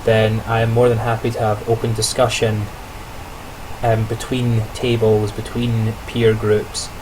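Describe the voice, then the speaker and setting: A man's voice: on the low side, monotone, unhurried, background noise. A young man, a trainee teacher, talking through his day in a casual video diary, chatty and reflective with frequent self-corrections and small laughs.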